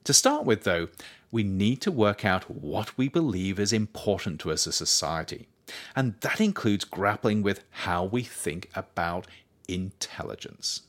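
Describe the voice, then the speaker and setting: A man reading his own scripted non-fiction as narrator, with measured, articulate, emphatic phrasing. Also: lowish voice, animated. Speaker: author reading own book as audiobook podcast.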